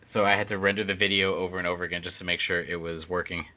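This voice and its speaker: A man's voice: sits low, flat delivery, rapid-fire, a crowd in the background. A man chatting on a fan commentary track, rambling with many fillers. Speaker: fandub editor and voice actor. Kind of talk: casual commentary chat.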